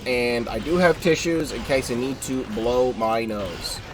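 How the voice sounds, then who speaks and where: A man's voice: mid-range voice, unhurried, poor audio. Congested young man streaming a game. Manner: rambling, self-correcting, swears casually. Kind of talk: casual live gameplay commentary.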